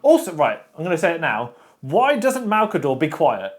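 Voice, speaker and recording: A man's voice: on the high side, huge pitch swings. Opinionated man chatting books. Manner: opinionated, talks in quick bursts with restarts. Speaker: podcast co-host. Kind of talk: casual chat about books.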